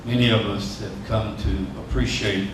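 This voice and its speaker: This is a man on an interfaith panel with a low, flat voice and an earnest, steady delivery. He is recorded from a distance in a reverberant hall with clear noise. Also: moderate pace. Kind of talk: unscripted panel discussion. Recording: echoey hall from far off, noisy.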